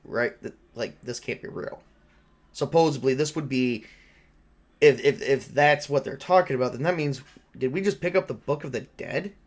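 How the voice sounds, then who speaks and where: Male tabletop game master, mid-range voice, improvising loosely with halting, repetitive phrasing. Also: medium pitch. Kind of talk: improvised tabletop roleplay and narration, conversational.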